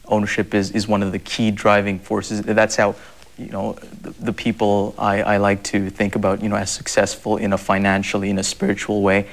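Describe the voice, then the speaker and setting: A man's voice: on the low side, monotone, a little fast. A young man from Zambia, answering interview questions earnestly, with many fillers and repeated words. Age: young adult. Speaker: guest.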